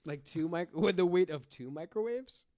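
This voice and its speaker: A man's voice: high voice, animated, normal speed, warm tone, no echo. A man on a podcast panel, bantering casually and riffing on jokes. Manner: joking, riffing.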